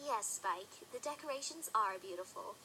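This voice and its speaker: A woman's voice: mid-range voice, expressive, pushed and bright, slight background noise, low-quality recording. A woman voicing an earnest, easily flustered young female unicorn pony in a cartoon-style audio drama. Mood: awkward. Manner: exclaiming, flustered, halting. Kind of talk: animated-series style audio drama acting.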